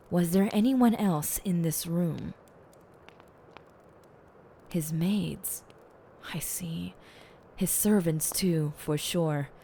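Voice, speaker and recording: A woman plays a self-satisfied female detective in an audio roleplay. She speaks in a slightly low voice at a very leisurely pace, savoring each pompous phrase and giving bossy, teasing orders with theatrical relish. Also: warm tone. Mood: probing, pleased.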